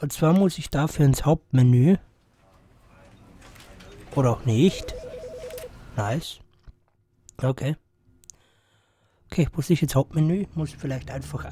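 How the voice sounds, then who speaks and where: Hoarse young man chatting over a game. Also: medium pitch, takes it slowly, long pauses, mellow. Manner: rambling, mumbled asides. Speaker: let's play host. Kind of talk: casual gameplay commentary. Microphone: close to the microphone.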